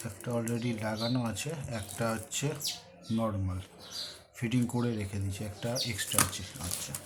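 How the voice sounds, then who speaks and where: A man's voice: lowish voice, steady tone, normal speed. Indian Bengali man, very noisy recording. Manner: informal, mixing in English words. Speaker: vlogger. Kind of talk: casual unboxing vlog talk. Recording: very noisy amateur vlog recording.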